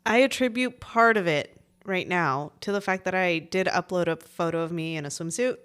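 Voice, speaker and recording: Lower-voiced woman in her forties. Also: normal speed, a bit bright, smooth. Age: 40s. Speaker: podcast co-host. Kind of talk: casual conversational banter.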